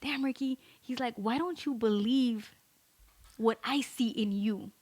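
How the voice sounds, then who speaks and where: A woman co-hosting a podcast in a recording studio, talking loudly and emphatically in casual chat and reading out listener comments. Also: fairly high pitch, unhurried. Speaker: podcast co-host.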